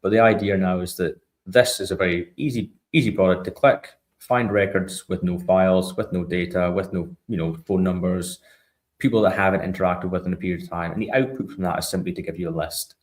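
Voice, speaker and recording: Very low-voiced man explaining a product. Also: fairly even intonation, fairly quick. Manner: informal, explanatory, checks in with tag questions. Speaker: software product expert, interview guest. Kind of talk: conversational product walkthrough.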